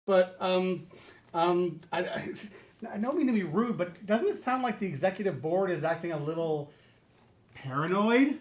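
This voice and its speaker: A man's voice: high-pitched, animated, moderate pace. A man acting a timid, newly promoted manager in a satirical stage play reading, hesitant and stammering. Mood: hesitant, doubtful. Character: timid, nervous, newly promoted male manager, reasonable and doubtful.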